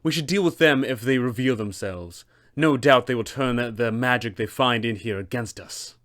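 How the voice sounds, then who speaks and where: A man voicing a cold, scheming female wizard villain for a tabletop game, disdainful and measured. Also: medium pitch, a bit bright, close to an everyday voice. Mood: contemptuous. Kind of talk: tabletop game character acting.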